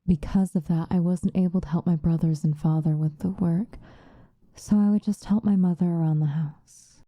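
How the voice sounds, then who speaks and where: Woman playing a dark, hushed, seductive vampire. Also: lowish voice, steady tone, dry recording, lightly acted. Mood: wistful, bitter. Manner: soft, breathy, drifting into whispers, unhurried. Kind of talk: ASMR roleplay, intimate confessional monologue. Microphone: close microphone, dry.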